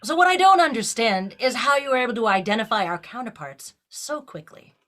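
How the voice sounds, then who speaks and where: A woman's voice: a little high, lively intonation, a little fast, bright, forceful tone. A woman playing a bold, persuasive female starship captain in a fan audio drama, speaking with theatrical emphasis. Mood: wry. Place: remote virtual production.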